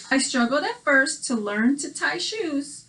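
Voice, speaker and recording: A woman co-hosting a children's read-aloud, telling the story in an animated, reactive way and chatting casually. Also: high voice, slow, rough recording.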